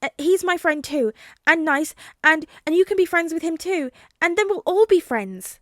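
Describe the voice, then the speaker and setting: Brief: gushing little boy, very high and bright, eager chatter, voiced by a woman. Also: expressive, moderate pace, dry recording, studio quality. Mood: excited. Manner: breathless, gushing, high-pitched.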